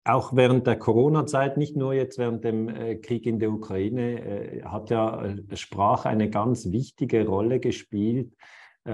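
Ein Mann mit Schweizer Akzent, der als Moderator ein Gespräch führt und oft mit Füllwörtern und Neuansätzen zögert. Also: male voice, lowish voice, a little mellow. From Switzerland. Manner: hesitant, many fillers and restarts. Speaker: host. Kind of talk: interview over video call.

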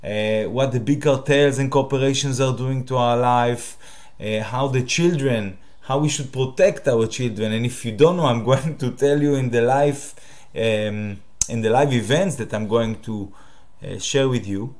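A man from Israel with a Hebrew accent, a native Hebrew speaker hosting his own channel and talking to the camera in a direct, halting way with many fillers. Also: mid-range voice, a little mellow. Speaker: channel host. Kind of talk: solo talk to camera.

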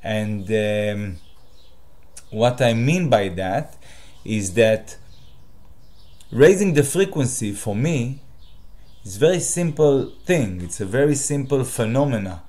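A man from Israel with a Hebrew accent, a native Hebrew speaker hosting his own channel and talking to the camera in a direct, halting way with many fillers. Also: mid-range voice, lively intonation, a little mellow. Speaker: channel host. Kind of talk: solo talk to camera.

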